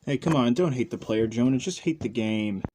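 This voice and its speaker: A man's voice: mid-range voice, normal speed, mellow. A man hosting a casual, unscripted review, rambling and hesitant, often trailing off. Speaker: toy reviewer, channel host. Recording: faint background noise.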